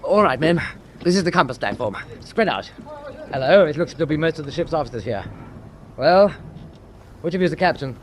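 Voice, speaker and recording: British first lieutenant, played by a man: authoritative, brisk, raised pitch, wartime radio drama, slight background noise. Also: lively intonation, close to an everyday voice. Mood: commanding. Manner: clipped, authoritative. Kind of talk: naval radio drama acting.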